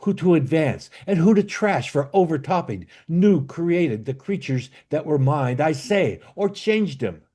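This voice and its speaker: A man playing a bitter, commanding exiled duke and father in an amateur Shakespeare reading, with a declamatory, halting delivery. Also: fairly high pitch, expressive, a little mellow. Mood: stern, recounting. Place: online video call.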